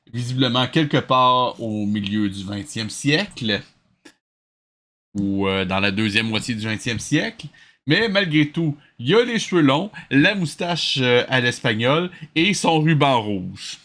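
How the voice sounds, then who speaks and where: Bright-voiced sarcastic Quebec French man. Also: medium pitch, animated, a little slow. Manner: sarcastic, incredulous. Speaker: host commenting on an old cartoon. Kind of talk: mocking reaction commentary, casual.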